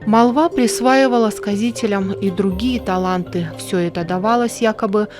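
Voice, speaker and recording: A woman's voice: mid-range voice, moderate pace, over music. A woman reading scripted documentary narration as the narrator.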